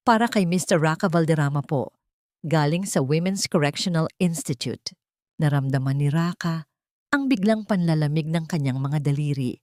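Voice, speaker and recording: A woman's voice: sits low, darkish tone, no echo, studio quality. A woman with a Filipino accent narrating a dramatic scripted story as an audiobook reader.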